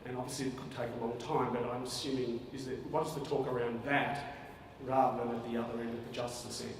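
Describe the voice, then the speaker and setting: A man's voice: mid-range voice, flat delivery, brisk. A man in the audience asking a hesitant, thought-out question at a public talk. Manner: hesitant, thinking aloud. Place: large lecture hall. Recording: distant echoey hall with noise.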